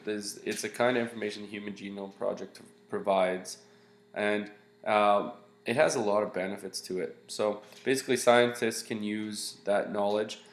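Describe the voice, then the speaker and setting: A man with a congested, nasal voice talks through a recorded science lesson in a loose, rambling way, full of fillers and restarts. His pitch sits low with a gravelly edge, and the sound bounces around an echoey room. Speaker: science teacher.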